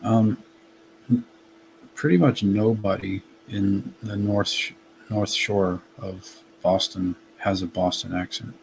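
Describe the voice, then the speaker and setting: A man's voice: fairly low pitch, steady tone, takes it slowly, gravelly, a little mellow, a little hiss or hum, low-quality recording. A man with a light Massachusetts accent, a guest in a casual live chat, correcting people in a plain, matter-of-fact way.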